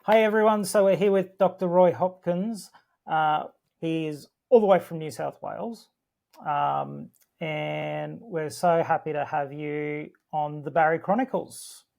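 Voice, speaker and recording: A man's voice: on the high side, fairly even intonation, measured pace, gravelly, a little mellow. A man co-hosting a podcast in casual chat, friendly and easily impressed, with some hesitations. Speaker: podcast co-host.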